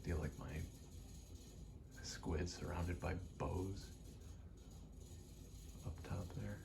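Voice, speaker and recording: A soft-spoken man rambling casually in ASMR style, hesitant and pausing often between short phrases. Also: low voice, steady tone, creaky, noisy background. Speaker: ASMR vlogger and aspiring actor.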